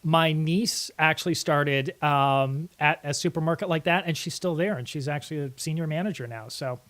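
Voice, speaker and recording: A middle-aged man with a notably high-pitched voice hosts a casual comedy show. He talks conversationally, like someone sharing stories with a friend, and is animated in the telling. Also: normal speed. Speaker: host of a live comedy film-riffing show. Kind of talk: casual chat, anecdotal storytelling.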